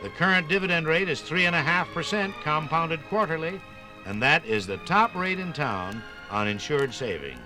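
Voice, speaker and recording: A man's voice: fairly high pitch, expressive, normal speed, music in the background. A man narrating a scripted 1950s radio documentary in an emphatic, polished announcer's delivery. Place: radio studio.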